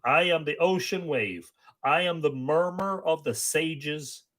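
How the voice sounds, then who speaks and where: A man's voice: on the high side, sing-song, normal speed, pitched above the performer's own voice. A man reciting the boastful chant of an ancient male druid poet, in a declaiming, incantatory way.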